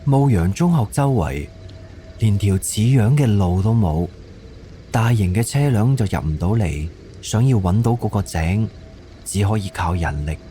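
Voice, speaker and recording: Mellow, low-voiced male Cantonese narrator. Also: lively intonation, unhurried, slight background noise. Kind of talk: scripted story narration.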